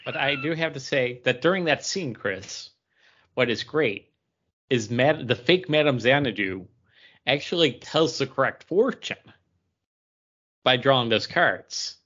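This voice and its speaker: A man's voice: mid-range voice, expressive, unhurried, pauses often, fairly bright tone. Male podcast co-host, mid-range voice, conversational delivery, somewhat dull recording. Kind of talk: casual chat.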